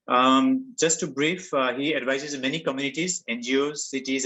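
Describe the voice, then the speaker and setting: A man hosting an online workshop, introducing the guest speaker in a quick, informal way. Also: medium pitch, fairly bright tone, poor audio. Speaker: workshop host. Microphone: video call.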